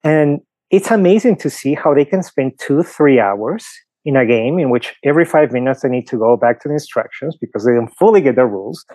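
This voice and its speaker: A man's voice: medium pitch, animated, a little fast, hardly any pauses, dark tone, no room sound. A middle-aged man from Peru with a Peruvian Spanish accent, a priest explaining his views in a podcast interview with many fillers and examples. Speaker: priest guest.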